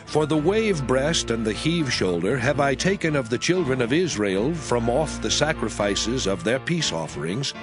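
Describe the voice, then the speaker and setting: A man playing God as a solemn, commanding lawgiver in a dramatized scripture reading, speaking in measured, declamatory phrases. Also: medium pitch, natural voice.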